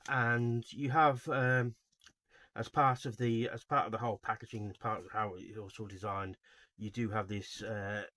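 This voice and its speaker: Guy w a lowish voice doing an off the cuff video, rambly and halting, keeps correcting himself, dry sound. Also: level tone, sharp tone, no echo. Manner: halting, rambling delivery with frequent self-corrections. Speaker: host of a video series on album cover art. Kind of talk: informal unscripted video commentary.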